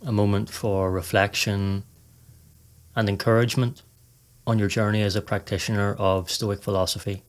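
A man narrating a scripted guided meditation as a podcast host, in a measured, instructional reading manner. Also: lowish voice, flat delivery.

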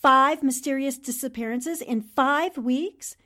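High-pitched, spirited woman acting a newspaperwoman. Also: unhurried, bright, forceful tone. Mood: urgent, pleading. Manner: insistent, pleading. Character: pushy, spirited young newspaperwoman. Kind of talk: 1940s radio drama acting.

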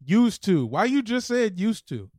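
A man's voice: high voice, big pitch swings, a little slow, a little mellow, dry recording, studio quality. A man co-hosting a podcast, bantering casually. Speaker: podcast co-host.

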